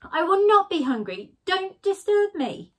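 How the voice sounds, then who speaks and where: A woman's voice: very high-pitched, big pitch swings, measured pace, voice pushed up, full character voice. Woman doing a grumpy badger guy, short and snappy, storybook. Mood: irritated. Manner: curt, impatient. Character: grumpy, studious male badger.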